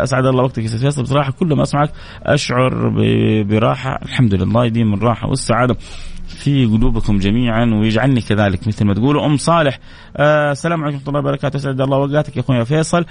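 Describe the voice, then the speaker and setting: Male radio host, gravelly and quick, runs through listener shout-outs with a blessing for each. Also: mid-range voice. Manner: reads names and cities aloud, answering each with a blessing.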